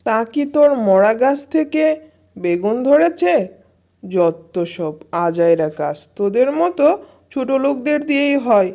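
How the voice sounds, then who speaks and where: A woman's voice: on the high side, big pitch swings, a little slow, vocal fry, dark tone, full character voice. A woman voicing a scornful, snobbish animal neighbour in a cartoon fairy tale, dismissive and sneering. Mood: contemptuous.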